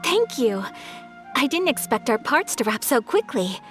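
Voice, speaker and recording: A woman playing a gentle, courteous young noblewoman in a video game, speaking politely and formally. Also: high-pitched, very animated, unhurried, soft background music, exaggerated voice. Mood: gracious.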